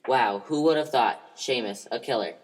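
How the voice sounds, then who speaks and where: A man's voice: mid-range voice, normal speed, close to an everyday voice. A man playing an earnest police detective in an amateur old-time radio mystery. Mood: astonished. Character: male police detective, earnest partner investigating a murder.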